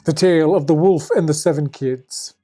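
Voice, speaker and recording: A woman hosting and narrating a storybook tale in a formal, deadpan manner with dry asides. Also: deep voice, normal speed, soft background music. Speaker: fairy tale reader and host.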